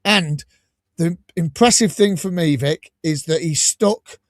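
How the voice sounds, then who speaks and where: A man hosting a live fan podcast, enthusiastic and rambling, a little croaky from a cold. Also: a little high, a little slow, no room sound, clean studio sound. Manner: enthusiastic, rambling, laughs often. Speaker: podcast host.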